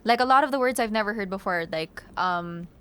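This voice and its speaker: A young woman with an American accent, talking as a radio guest in an easy, bubbly way. She slips Tagalog words into her English, and her voice sits in a middle pitch. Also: fairly quick, fairly bright tone, smooth. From Los Angeles, USA. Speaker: guest singer. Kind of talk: casual radio interview chat.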